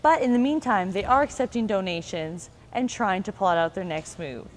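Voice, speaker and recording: A woman reading a scripted news report as a student reporter, in a measured reporting cadence. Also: mid-range voice.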